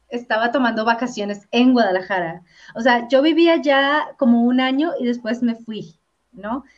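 A woman from Colombia, an actress and comedian, chatting as an interview guest with many fillers and repeated words. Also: fairly high pitch. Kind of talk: casual interview chat. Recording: dull lo-fi sound.

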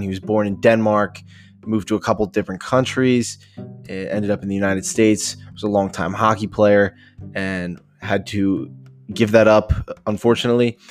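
A young man hosting a casual interview podcast, energetic in his intro and relaxed when asking questions. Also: on the low side. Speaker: podcast host.

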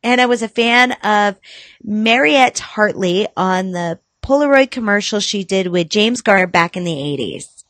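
A woman's voice: medium pitch, expressive, unhurried, fairly bright tone, dry recording. A woman co-hosting a casual podcast chat, swearing freely, reading things aloud and laughing with her co-host. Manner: laughing, profane, bantering.

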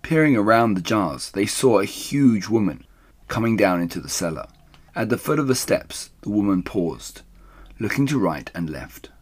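Animated man reading a children's story. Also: lowish voice, moderate pace, breathy edge. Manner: suspenseful, hushed at moments. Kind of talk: dramatic children's story read-aloud.

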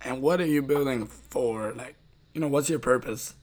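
A man's voice: mid-range voice, moderate pace, a little mellow. A man with a middle-range voice hosts a casual, opinionated conversation. He is direct and emphatic, pressing his points and tagging them with short questions to the listener. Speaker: podcast host.

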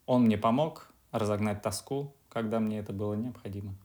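A man being interviewed as a podcast guest, answering in a measured, explanatory way. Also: lowish voice, darkish tone. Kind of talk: casual interview.

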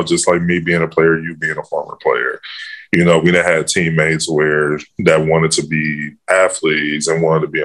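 A man's voice: extremely low pitch, a bit bright. A man who coaches a girls' basketball team, answering interview questions casually and filling his speech with "you know". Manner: easygoing, fills with "you know".

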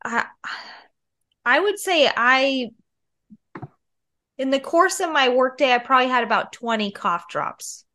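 A woman's voice: high-pitched, measured pace, pauses often, pushed and bright. A woman in her thirties co-hosting a podcast, nasal and sick with a cold, chatting in a confiding storyteller way. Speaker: podcast co-host. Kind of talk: casual chat.

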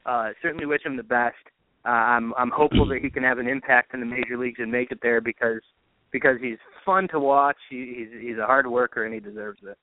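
A man's voice: medium pitch, quick pace, dry recording. Man on a phone-like line, talking baseball. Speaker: baseball play-by-play announcer, podcast guest. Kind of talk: casual sports interview chat.

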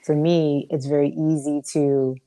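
A young woman with a raspy voice co-hosting a podcast, talking casually and emphatically, with many fillers. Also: level tone, measured pace, mellow. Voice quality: raspy, low voice. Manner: emphatic, preachy about self-growth, with fillers. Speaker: podcast co-host. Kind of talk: casual chat.

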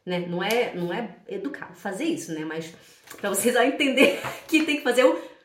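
A woman with a Brazilian accent from Pará giving an informal, chatty language lesson, repeating phrases deliberately and checking in with her listeners. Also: medium pitch, expressive, a little hiss or hum. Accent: Brazilian Portuguese, from Pará. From Belém do Pará, Brazil. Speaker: German language teacher. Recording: echoey room, distant from mic.

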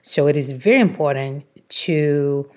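A woman's voice: sits low, measured pace. A woman whose native language is Portuguese, giving an encouraging motivational talk as a host, in an emphatic, instructive manner. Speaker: podcast host, motivational coach.

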